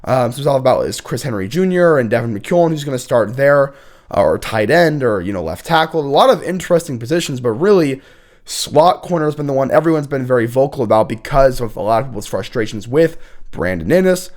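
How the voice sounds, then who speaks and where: A young man hosting a college football talk show, hoarse and sick with a nearly lost voice, rambling through stats with fillers and throat-clearing. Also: medium pitch, animated, fairly quick, darkish tone. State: sick, hoarse, losing his voice. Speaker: host. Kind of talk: casual sports commentary.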